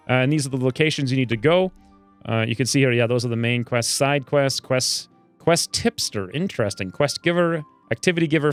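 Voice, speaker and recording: Excitable man narrating a game, smooth voice. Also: mid-range voice, dry recording. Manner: excitable, rambling, self-mocking asides. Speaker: gaming video host. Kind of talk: casual let's play commentary. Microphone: close desk mic.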